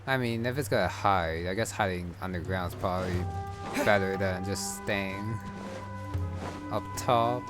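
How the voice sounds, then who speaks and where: A man's voice: low voice, a little slow. A man giving casual gameplay commentary, muttering and thinking aloud as he plays. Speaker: gamer. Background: game music.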